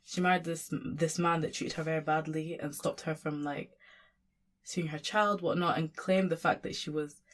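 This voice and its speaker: A woman's voice: low voice, fairly even intonation, normal speed. A young woman from Sudan, a guest and community worker, talking in a casual conversation with many fillers and restarts.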